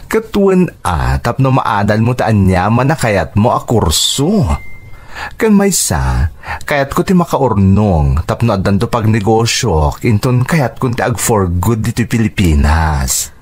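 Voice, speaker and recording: Here is a devoted, generous uncle in an Ilocano radio drama. His voice is husky and moves slowly, with drawn-out, emotive phrases and lively pitch. The Filipino accent is Ilocano. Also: heavily acted. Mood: earnest.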